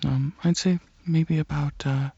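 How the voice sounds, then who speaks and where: Slow, breathy man playing a gentle alien dragon. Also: fairly high pitch, steady tone, dry recording. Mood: gentle, hesitant. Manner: hesitant, halting, gently explanatory. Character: friendly alien dragon explaining objects to a human. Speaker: ASMR roleplay performer. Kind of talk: soft-spoken ASMR roleplay. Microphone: close ASMR microphone. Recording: close, dry, muffled microphone.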